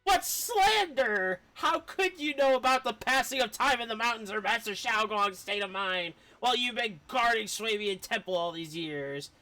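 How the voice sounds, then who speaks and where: A woman's voice: on the high side, expressive, normal speed, few pauses, sharp tone, low-quality recording. A woman voicing a pompous, romantic little robot scholar from a game, with grandiose, flowery, old-fashioned speech. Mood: indignant. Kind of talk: game dialogue read aloud in character.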